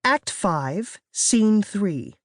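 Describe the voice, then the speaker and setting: A narrator reading a children's novel in an expressive, first-person storytelling way. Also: mid-range voice, drawn-out words, no room sound, studio quality. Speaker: audiobook narrator. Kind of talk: scripted audiobook narration.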